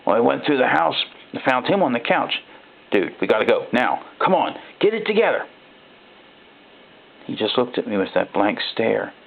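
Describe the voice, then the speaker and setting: A man voicing a frightened ordinary guy telling his own horror story, halting and rattled, with a gravelly voice. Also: medium pitch, very animated. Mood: panicked. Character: frightened ordinary man recounting robot replacements. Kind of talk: first-person dramatic horror narration. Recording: thin, phone-like narrow-band sound.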